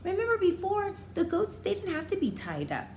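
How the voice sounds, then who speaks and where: A woman teacher reading a storybook aloud to young children, expressively, pausing to explain it in simple, repeated words. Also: very high-pitched, wildly swooping pitch, slight background noise.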